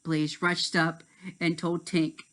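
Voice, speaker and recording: A man's voice: on the high side, monotone, slow pace, fairly bright tone. A man reading a children's story aloud as narrator, now and then stumbling over a word and rereading it. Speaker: storybook reader.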